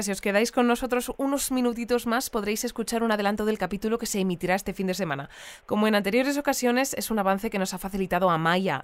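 An actress playing a courteous, composed radio interviewer in an audio drama, with an accent from Spain. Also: female voice, medium pitch, clear, resonant tone, dry recording, natural voice. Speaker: radio host.

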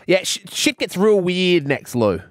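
A man's voice: high-pitched, sing-song, measured pace, a little hiss or hum. A man hosting a casual radio show, playful and teasing with his co-host and guest. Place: radio studio.

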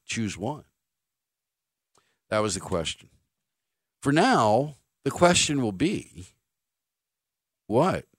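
Low-voiced man, blunt sports radio host. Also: no echo, studio quality. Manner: emphatic, blunt, repeating phrases for stress. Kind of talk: opinionated sports talk monologue. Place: dry radio studio.

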